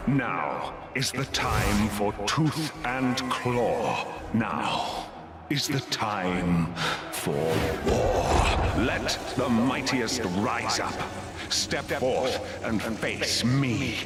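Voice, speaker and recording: Grandiose tyrant, slow booming proclamations, video game villain, dramatic music behind. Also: male voice, expressive. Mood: commanding, menacing.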